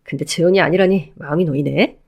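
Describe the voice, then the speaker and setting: A woman's voice: low voice, quick pace, a little mellow. A woman voicing a pleading, self-pitying middle-aged ex-husband who begs and whines. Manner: wheedling, whiny begging.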